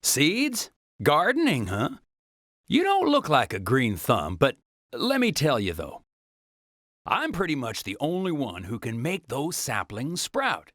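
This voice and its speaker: A man's voice: mid-range voice, wildly swooping pitch, measured pace, fairly bright tone, no echo, clean studio sound, exaggerated voice. An actor playing a jovial, talkative travelling salesman in a video game cutscene, with a folksy, chatty manner. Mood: folksy, friendly. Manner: chatty, folksy, chuckling, exclamatory.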